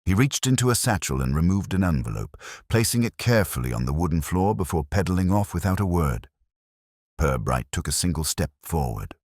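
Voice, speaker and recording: A man reading a mystery story as a scripted audiobook narrator, in a measured, dramatic storytelling manner. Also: deep voice, big pitch swings, darkish tone, no room sound, clean studio sound. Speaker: narrator and reader.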